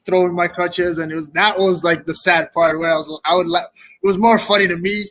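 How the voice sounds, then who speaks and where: A man's voice: high-pitched, fairly even intonation, brisk, a bit bright, dry recording. A young man from Kenya chatting casually and earnestly as an interview guest. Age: young adult. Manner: earnest, informal. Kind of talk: casual chat.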